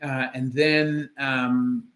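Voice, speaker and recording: A halting, roomy-sounding Canadian doctor. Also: male voice, mid-range voice, level tone, a bit bright. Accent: Canadian, with a faint Jamaican trace. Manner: thoughtful and measured, with many ums and uhs and restarts. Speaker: orthopedic surgeon answering viewer questions. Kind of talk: casual question-and-answer livestream. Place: his home office. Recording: roomy echo in a room.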